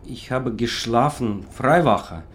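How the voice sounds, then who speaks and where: An actor playing a guarded sailor in a radio drama, answering tersely in halting, broken sentences. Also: male voice, mid-range voice, animated, a little hiss or hum. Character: guarded Lithuanian sailor under questioning.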